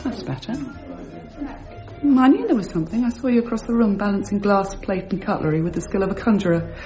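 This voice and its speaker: A woman's voice: medium pitch, animated, quick pace, mellow, lo-fi sound, soft background music, close to an everyday voice. An actress playing a prim, composed married landlady, speaking articulately and insistently in a radio drama. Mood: probing, insistent. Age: 30s. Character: prim, probing married landlady in her thirties.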